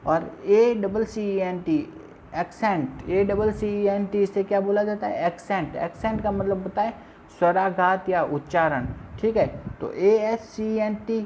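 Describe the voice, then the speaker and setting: A woman's voice: mid-range voice, dark tone. A woman teaching vocabulary for an exam, spelling out each word letter by letter and repeating every answer as a quiz. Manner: asks and answers her own questions. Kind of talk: vocabulary lesson. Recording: dull lo-fi sound with faint noise.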